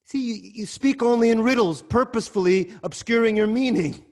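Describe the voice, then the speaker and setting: A man's voice: very high-pitched, a little fast. An actor playing a proud, hot-tempered king in a dramatic reading over a video call, scornful and commanding. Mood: defiant impatience. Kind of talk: Zoom dramatic reading of a Greek tragedy.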